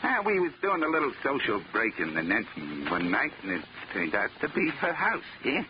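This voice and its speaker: A man's voice: medium pitch, huge pitch swings, moderate pace. An actor playing a dim-witted, boastful gangster thug in a 1940s radio drama, talking in tough-guy slang and losing his train of thought. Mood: gloating. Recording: lo-fi noisy 1940s radio recording.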